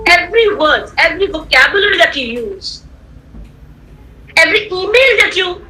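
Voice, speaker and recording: A woman whose first language is Tamil, giving an animated online lecture to students, repeating points and checking that they follow. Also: very high-pitched, normal speed, poor audio. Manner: animated, repetitive, rhetorical questions to listeners. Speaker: guest lecturer. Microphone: computer mic on a video call.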